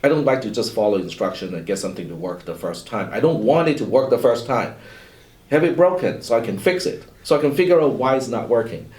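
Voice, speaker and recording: A Cantonese-speaking man, a college instructor, talking in a relaxed, rambling way full of "you know" in a panel discussion. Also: mid-range voice, fast talker. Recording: roomy echo, far from mic.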